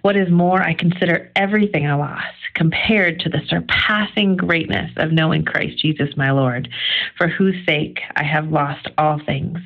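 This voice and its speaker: A woman's voice: low voice, moderate pace, creaky, narrow, tinny audio. A middle-aged woman giving an informal, earnest devotional reflection on scripture in a conversational, emphatic way.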